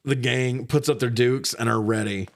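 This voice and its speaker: A man hosting a casual film review podcast, recapping in an animated, profane way with frequent laughter. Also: medium pitch, normal speed. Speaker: podcast host reviewing films. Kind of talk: casual recap and banter between friends.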